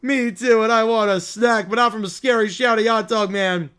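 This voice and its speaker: Man doing a frightened little kid, whiny, plaintive, slow, very high-pitched, cartoonish. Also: fairly even intonation, fairly bright tone, smooth. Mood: timid, pleading. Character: whiny, frightened small child on a city trip.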